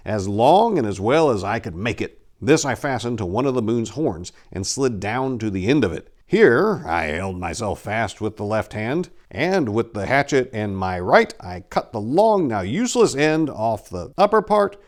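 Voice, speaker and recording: A man voicing a boastful gentleman adventurer baron, telling tall tales in a grandiose, dramatic storybook reading. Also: mid-range voice, big pitch swings, normal speed, hardly any pauses, close to an everyday voice. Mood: grandiose, earnest.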